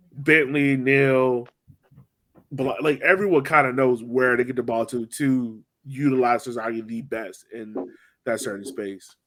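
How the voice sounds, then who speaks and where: A man with an average-pitched voice co-hosts a casual sports podcast. He talks through plays informally, with slang and plenty of "like" scattered through his sentences. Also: fairly bright tone. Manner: informal, slangy, filler-heavy with frequent "like". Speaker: soccer podcast co-host. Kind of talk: casual chat, sports match recap.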